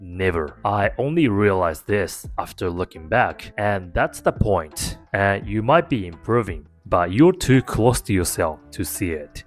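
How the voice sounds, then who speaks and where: A man with a Japanese accent, a native Japanese speaker, giving an earnest, encouraging talk to camera about learning English. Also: deep voice. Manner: earnest, encouraging, plain-spoken. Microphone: talking to camera.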